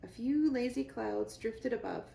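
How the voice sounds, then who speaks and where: A woman reading a children's book aloud as an expressive narrator, in a roomy, echoey recording. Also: fairly high pitch, a little slow, creaky. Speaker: library reader. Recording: roomy, echoey indoor sound.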